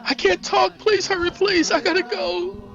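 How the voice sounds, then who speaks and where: A man's voice: very high voice, fairly even intonation, measured pace, gravelly, sharp tone, muffled recording, soft background music, pitched above the performer's own voice, exaggerated voice. A man voicing a frightened young woman, pleading with urgency in an acted drama. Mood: panicked, pleading.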